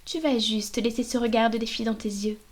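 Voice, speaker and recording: A woman's voice: on the high side, steady tone, brisk, close to an everyday voice. A woman voicing a possessive, domineering female vampire mistress in an ASMR roleplay, mostly whispering, coaxing and commanding into a binaural microphone.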